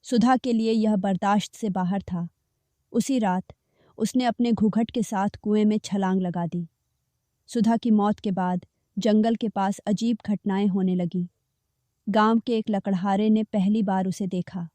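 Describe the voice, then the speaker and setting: A woman narrating a cartoon folk story in a steady, measured way. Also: medium pitch, no echo. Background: faint background music.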